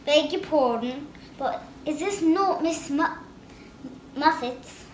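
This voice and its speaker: A woman's voice: high-pitched, slow pace, pitched above the performer's own voice. A woman voicing a fussy, tidy little female mouse who talks in a prim, scolding way. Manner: prim, scolding, house-proud. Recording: roomy with faint hiss.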